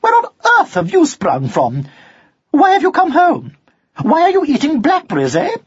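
A sharp-tongued mother cat in a storybook, acted by a man. Her scolding is scornful and snappish, pitched high with wide swings. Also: huge pitch swings, normal speed, breathy edge, no room sound, muffled recording, full character voice. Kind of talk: children's storybook character voice.

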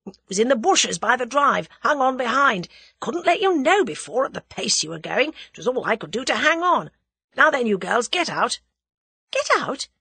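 A young Englishman taking charge, voiced by a woman narrator, curt and commanding. The voice is bright and a little breathy, with lively pitch. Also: normal speed, no room sound, exaggerated voice.

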